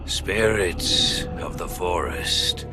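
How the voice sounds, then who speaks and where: Very slow, deep ancient sniper. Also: male voice, over loud music. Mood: weary, peaceful. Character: ancient, solemn old male sniper, reverent and weary. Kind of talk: dramatic video game voice acting.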